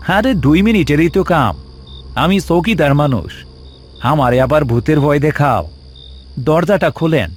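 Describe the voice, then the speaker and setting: An actor playing a jittery, boastful village watchman with a halting delivery and rural Bangladeshi accent in a horror cartoon. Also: on the high side, animated.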